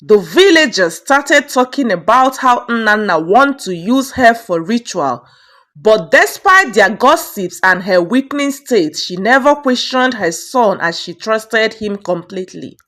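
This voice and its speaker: A woman's voice: a little high, lively intonation, unhurried, fairly bright tone. A woman narrating a folktale as a storyteller, rising and swelling on the dramatic turns. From Nigeria.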